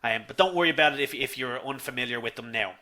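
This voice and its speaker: A man's voice: mid-range voice, fast talker, pushed and bright. A man with a Dublin Irish accent teaches a live online class, chatty, calling his viewers folks and reading their comments aloud. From Ireland. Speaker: online instructor.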